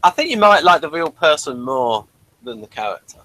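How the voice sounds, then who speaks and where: A man's voice: on the high side, very animated, brisk, sharp tone, dry recording, low-quality recording. A man co-hosting a casual review chat, speaking in a hesitant, understated way. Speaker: co-host of an episode review show.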